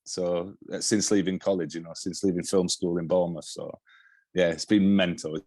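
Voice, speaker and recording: A man's voice: deep voice, flat delivery, moderate pace, mellow, dry recording. A man in his forties with a Yorkshire accent, talking as an interview guest, rambling and restarting his sentences often. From Yorkshire, England. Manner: rambling with many restarts and repeated words. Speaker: TV director, guest. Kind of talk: casual interview talk.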